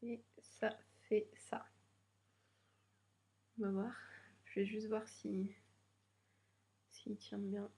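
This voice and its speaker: A woman's voice: mid-range voice, flat delivery, very slow, long pauses, mellow. A young woman with a slightly stuffy nose from a cold, chatting hesitantly with many fillers as she unboxes beauty products. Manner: hesitant, many fillers, nervous first video. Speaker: beauty vlogger. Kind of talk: casual unboxing chat.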